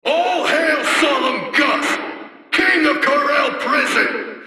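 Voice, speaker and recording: A man's voice: very high voice, animated, slow, bright, forceful tone, no room sound, full character voice, rough recording. An actor playing a fanatical prison henchman in a video game, hailing his king in a chanted proclamation. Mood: fervent, proclaiming. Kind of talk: video game character acting.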